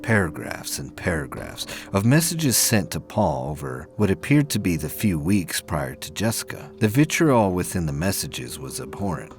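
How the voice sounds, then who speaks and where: Deep-voiced, animated male storyteller. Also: moderate pace, few pauses, faint music behind. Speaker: narrator of an audio drama. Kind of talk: dramatic scripted narration of science fiction prose.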